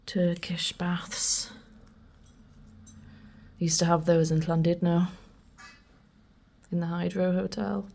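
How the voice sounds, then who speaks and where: Woman, lowish husky voice, unhurried, casual vlog commentary, half-whispered reading aloud. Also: level tone, mellow. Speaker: vlogger. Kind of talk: casual commentary while reading aloud old newspaper adverts.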